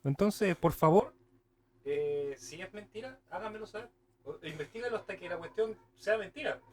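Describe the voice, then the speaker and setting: A man's voice: sits high, normal speed, slight background noise. A young man with a Chilean accent co-hosting a podcast, talking casually and loosely with slang and lots of fillers. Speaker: podcast co-host.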